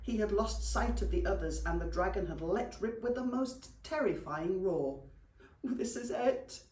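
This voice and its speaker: A woman's voice: medium pitch, normal speed. A British woman reading a children's story expressively to young pupils, like a teacher at storytime. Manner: animated, addresses young listeners fondly. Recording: roomy echo, distant microphone.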